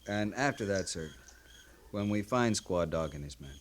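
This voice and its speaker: A man's voice: low voice, expressive, moderate pace. A man playing a formal, earnest young cavalry lieutenant in a 1950s radio western, polite and deferential. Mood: tentative, respectful. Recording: noisy vintage 1950s radio recording.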